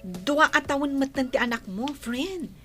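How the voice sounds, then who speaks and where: A woman's voice: high voice, animated, a little slow, fairly bright tone, faint music behind. A woman playing a chatty, supportive young friend in a radio-style drama, with an Ilocano accent. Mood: supportive, curious.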